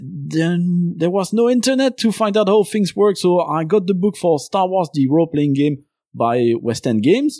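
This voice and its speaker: A man's voice: mid-range voice, warm tone, no room sound, clean studio sound. A man from Belgium whose first language is French, speaking English with a Belgian French accent as a guest in a casual interview, talking at length with many filler sounds. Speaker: podcast host and game designer guest.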